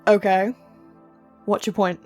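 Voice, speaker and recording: A woman's voice: mid-range voice, little pitch movement, takes it slowly, a little mellow, faint music behind, natural voice. A woman voicing a bored young muse who loves chaos, whiny and sarcastic, in an audio drama. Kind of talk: ASMR audio drama roleplay.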